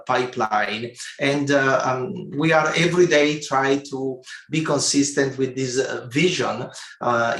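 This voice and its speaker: A man answers interview questions conversationally, as a business executive. His pitch is average, and the sound is clearly roomy and echoey. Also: a bit bright. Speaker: chief executive interviewed about his company.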